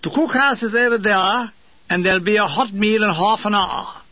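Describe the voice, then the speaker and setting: A man's voice: sits high, normal speed, no room sound, pitched above the performer's own voice. A man voicing a posh British army man in a comic memoir reading. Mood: brisk. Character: posh army man announcing where the cookhouse is. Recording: dull, lo-fi recording.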